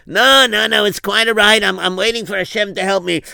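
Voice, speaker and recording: A man's voice: high-pitched, steady tone, brisk, gravelly, bright, forceful tone, smooth, no room sound, exaggerated voice. A man voicing a stubborn pious man in a told story, stammering through his refusals.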